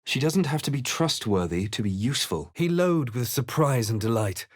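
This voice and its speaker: An earnest, guarded young adventurer, acted by a man for a fantasy video game. His mid-pitched voice is measured and theatrical, telling his own tale like a storyteller. The sound is dry and close. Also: animated, no room sound, natural voice. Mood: hushed, grave. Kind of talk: dramatic first-person narration and reactions in a fantasy game.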